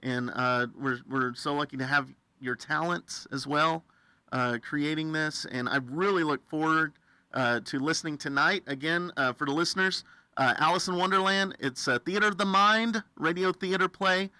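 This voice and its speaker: A very bright American male voice, a little high and very dry. He hosts a friendly radio interview, upbeat and folksy, readily cheering on his guest. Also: steady tone, moderate pace, smooth, dry recording. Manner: enthusiastic, affirming, folksy.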